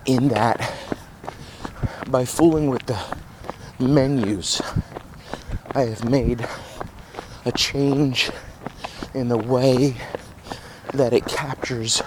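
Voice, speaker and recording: A man talks slowly and carefully, picking each word in measured phrases. He holds a small handheld recorder outdoors, and clear street sounds come through behind him. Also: mid-range voice, fairly even intonation, background noise. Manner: slow, deliberate, wordy phrasing. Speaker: running podcast host. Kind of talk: casual monologue. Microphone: handheld digital voice recorder.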